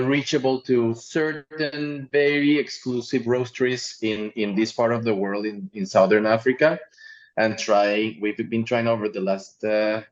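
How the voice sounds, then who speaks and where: A man's voice: lowish voice, highs cut off. A man from Colombia, a coffee importer telling stories as a guest, often repeating words as he goes. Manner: repeats words as he talks, storytelling. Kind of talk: casual interview talk.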